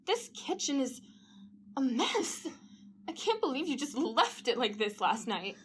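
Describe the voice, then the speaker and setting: High-pitched, exasperated wife, acted by a woman. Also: very animated, a little slow, a bit bright, slight background noise, heavily acted. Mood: exasperated, defensive. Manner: indignant, emotional. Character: exasperated, hurt wife worn down by caretaking. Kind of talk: audio drama acting.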